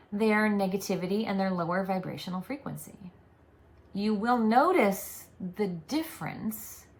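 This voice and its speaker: A woman delivering channeled spiritual guidance in a calm, unhurried way. She speaks as a collective "we", and her voice carries a noticeable gravelly fry. Her pitch sits in an ordinary middle range for a woman. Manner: slow, deliberate, soothing delivery.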